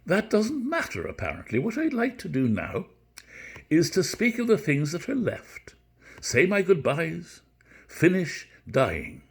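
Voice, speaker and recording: A man's voice: on the high side, huge pitch swings, unhurried, creaky, husky, pitched above the performer's own voice, full character voice. A man reading a novel dramatically as its weary, muddled narrator, in a put-on Irish accent with a plaintive, resigned manner.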